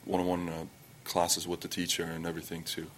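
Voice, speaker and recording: A young man from Estonia with an Estonian accent, answering press questions in halting English full of fillers and restarts. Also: monotone, fairly bright tone, slight background noise. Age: young adult. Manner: halting, many fillers and restarts, low-key. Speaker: athlete at a press conference.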